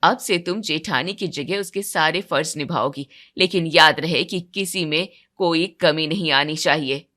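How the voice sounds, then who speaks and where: A woman's voice: sits low, unhurried, few pauses, sharp tone, voice pushed down, heavily acted. A woman voicing a stern, disapproving older mother-in-law with a firm, commanding manner in an audio story. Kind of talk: acted dialogue in a Hindi audio story.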